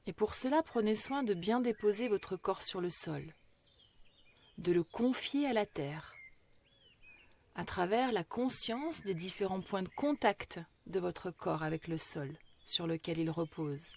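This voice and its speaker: Female meditation guide, mid-pitched; calm, unhurried, soothing delivery with generous pauses between phrases. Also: gravelly, slight background noise. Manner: slow, measured delivery with long pauses. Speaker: yoga nidra meditation guide.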